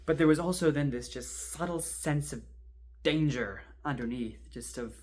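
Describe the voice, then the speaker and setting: A man performs a talkative kayak rescuer telling a horror story as if to a friend. He is wry and informal, halting for suspense as he recounts a strange ordeal in a drama monologue. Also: on the high side, a little mellow, close to an everyday voice. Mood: exhilarated. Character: chatty kayak rescuer recounting an eerie near-drowning.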